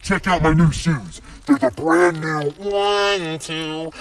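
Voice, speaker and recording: A voice run through a pitch-down effect, chanting a meme skit. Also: takes it slowly, distant mic, low-quality recording. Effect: deep pitch-down voice changer.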